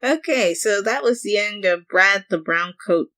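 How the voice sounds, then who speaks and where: A woman's voice: mid-range voice, expressive, unhurried, a bit bright, no echo. A woman hosting a reaction video, dropping brief, offhand comments in a casual style.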